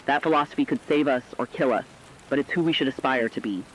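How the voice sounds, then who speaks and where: A man's voice: medium pitch, quick pace, a little hiss or hum, natural voice, rough recording. A man playing a troubled, earnest co-host in an apocalypse radio drama, speaking solemnly and reflectively. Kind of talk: scripted dramatic radio commentary.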